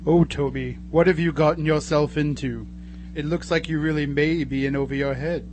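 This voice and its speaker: A man's voice: fairly high pitch, steady tone, normal speed, a little mellow, a little hiss or hum, natural voice. A man playing a wry, knowing narrator of a horror radio drama, theatrical and mock-sympathetic. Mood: wry, ominous.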